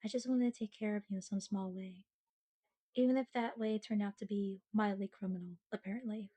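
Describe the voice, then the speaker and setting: A woman's voice: medium pitch, fairly even intonation, dark tone, slightly rough, natural voice. A woman acting a sweet, sheepish girlfriend in an ASMR roleplay, chatting earnestly and bashfully to the listener with playful self-mockery. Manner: chatty, halting, bashful with dramatic mock seriousness.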